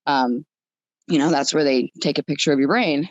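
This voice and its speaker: A woman in her fifties with a lowish voice, talking as a podcast guest. She tells her story conversationally and repeats phrases for emphasis. The audio is somewhat dull and roomy. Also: normal speed. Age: 50s.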